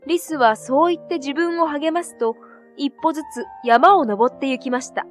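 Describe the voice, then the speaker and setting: Female storybook narrator: high voice, scripted children's tale delivery, music bed underneath. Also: moderate pace. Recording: soft music behind.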